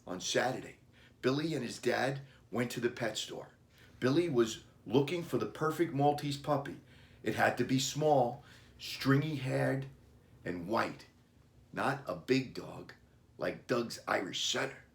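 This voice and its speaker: A man narrating a children's storybook as its author, with deliberate phrasing broken into short chunks. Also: medium pitch, vocal fry. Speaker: author reading his children's book.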